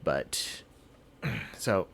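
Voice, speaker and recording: A man with a very low voice hosts a casual game stream. He talks slowly and haltingly, rambling along, while his pitch moves around in a lively way. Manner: halting, rambling delivery with throat clearing. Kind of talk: casual livestream chat.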